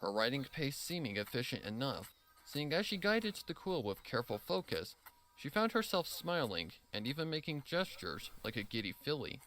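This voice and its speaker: A man's voice: mid-range voice, big pitch swings, moderate pace, a bit bright, rough recording. A man narrating a scripted story, reading expressively and dramatically.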